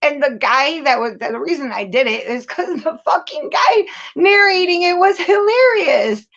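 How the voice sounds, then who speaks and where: A woman's voice: high voice, expressive, moderate pace, a bit bright, highs cut off. A woman venting candidly and casually as host, blunt and profane, leaning hard on repeated phrases for emphasis. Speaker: host of a live commentary show.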